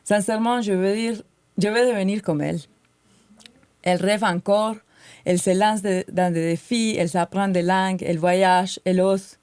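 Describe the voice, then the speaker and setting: A woman's voice: mid-range voice, steady tone, moderate pace, a little mellow, smooth, dry recording. A woman in her forties from Colombia, with a light Colombian Spanish accent, co-hosting a podcast and speaking earnestly from prepared notes. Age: 40s. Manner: earnest and heartfelt. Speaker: podcast co-host.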